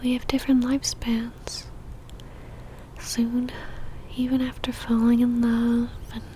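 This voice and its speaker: A woman playing an obsessive, seductive female vampire in a whispered ASMR roleplay, speaking intimately with a teasing, soft menace. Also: on the high side, completely flat delivery, unhurried, a little hiss or hum, lightly acted, rough recording. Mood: wistful, ominous.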